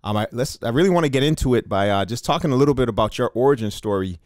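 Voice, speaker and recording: A man's voice: mid-range voice, animated, racing, a little mellow, no room sound, studio quality. A man hosting a podcast interview, upbeat and encouraging, with many fillers. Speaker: podcast host. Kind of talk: casual interview.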